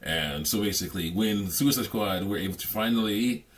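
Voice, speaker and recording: A man with a somewhat low voice gives casual commentary on a video game. His delivery is rambling and repetitive, with an exasperated, critical edge. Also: normal speed. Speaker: reaction video host.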